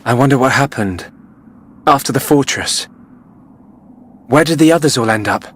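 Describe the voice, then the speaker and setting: An actor playing an earnest, protective young hero in a video game cutscene, speaking with sincere intensity. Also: male voice, medium pitch, expressive, normal speed, lightly acted. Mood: brooding, worried.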